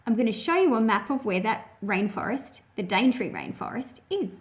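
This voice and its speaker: Higher-voiced woman presenting for children. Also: expressive, normal speed. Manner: addresses young listeners with questions. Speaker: picture-book read-aloud presenter. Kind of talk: children's story read-aloud and explanation.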